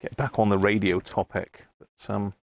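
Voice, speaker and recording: Low-voiced man, chatty at the workbench. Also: level tone, moderate pace, no echo, clean studio sound. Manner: chatty, thinking aloud while working. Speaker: host of an electronics repair livestream. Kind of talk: casual live workbench commentary. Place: indoors at an electronics workbench.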